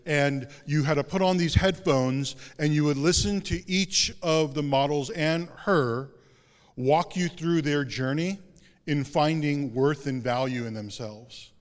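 A male pastor giving a sermon in a conversational, self-deprecating way with casual asides. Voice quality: deep voice. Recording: long hall reverb.

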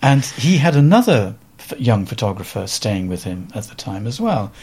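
A man around seventy with a Welsh accent, a photographer telling stories in an interview in a reflective, halting way with many pauses and fillers. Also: lowish voice, sing-song, darkish tone, slightly rough. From Wales. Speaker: photographer guest.

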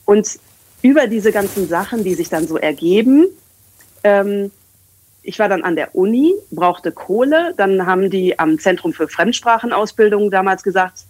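A woman's voice: mid-range voice, lively intonation, normal speed, a little mellow, dry recording, low-quality recording. A woman in her thirties, a communication coach and English trainer, talking in a casual, rambling interview with many fillers.

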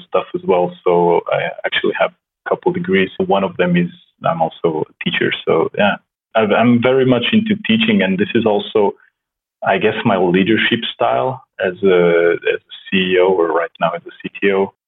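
A man with a Slovenian accent, a startup co-founder chatting in a soft-spoken, halting way with many restarts. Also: low-pitched, steady tone, husky. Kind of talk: casual conversation. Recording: tinny phone-like line.

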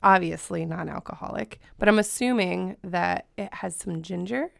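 A woman with laryngitis, hoarse and smoky-voiced, chatting and laughing as a guest on a podcast. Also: fairly low pitch, normal speed, dry recording, clean studio sound. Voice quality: smoky, gravelly voice. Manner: laughs often, playful. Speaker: guest co-host. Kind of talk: casual podcast chat.

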